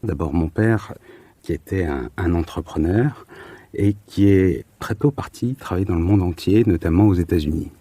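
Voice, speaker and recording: A man in his forties from southern France with a low, dark voice and a husky grain. He answers as an interview guest in a conversational way, pausing to find words and repeating them for emphasis. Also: no room sound. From Marseille, southern France.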